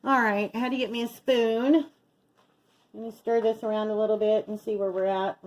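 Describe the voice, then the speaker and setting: A woman's voice: a little high, level tone, normal speed, a little mellow, low-quality recording. A middle-aged woman chatting casually as she narrates each step of her home cooking as a vlog host. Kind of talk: casual chat while cooking. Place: home kitchen.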